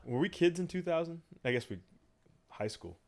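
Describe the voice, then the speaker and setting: A man's voice: a little high, animated, normal speed, darkish tone. A man co-hosting a podcast in casual chat, jokey and loose, with lots of filler words. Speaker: podcast co-host.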